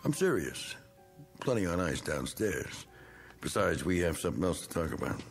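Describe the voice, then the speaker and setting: An actor playing a blunt, gruff middle-aged man who is clearing out his cluttered attic, in a TV drama. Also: low-pitched, big pitch swings, moderate pace, faint music behind. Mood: pushy. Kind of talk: 1980s TV drama acting.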